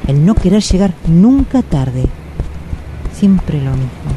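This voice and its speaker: Actress playing anguished woman, low voice, Buenos Aires accent, introspective radio monologue, halting repetitions, clearly noisy background. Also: very animated, full character voice. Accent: Buenos Aires Argentine. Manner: hesitant, repeating phrases, asking herself questions. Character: anguished, self-questioning woman, always rushing, confessing. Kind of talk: radio drama monologue, introspective first-person storytelling.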